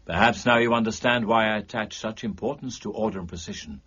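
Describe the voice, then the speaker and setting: An actor playing a cool, precise gentleman detective in a period radio drama, measured, formal and dryly teasing. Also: lowish voice, husky, lo-fi sound. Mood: coolly analytical. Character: cool, precise gentleman amateur detective, wry and self-assured.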